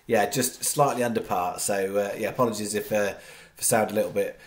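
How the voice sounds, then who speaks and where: A man's voice: fairly low pitch, fairly quick, slight background noise. A man with a head cold hosting a horse racing tips show, talking casually and rambling with many restarts. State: congested with a cold. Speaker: horse racing tipster host. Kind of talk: casual chat to camera. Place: home studio.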